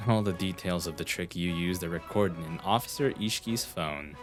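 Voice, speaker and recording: A man's voice: deep voice, moderate pace, close to an everyday voice. A man playing an eccentric young genius detective, intense and flippant, in an anime fan dub. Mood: calm, explaining. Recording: voice over background music.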